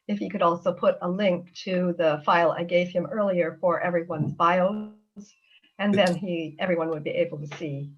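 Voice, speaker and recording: A woman's voice: on the low side, highs cut off. Woman speaking earnestly on a video call. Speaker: author and panelist. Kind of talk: online panel introduction.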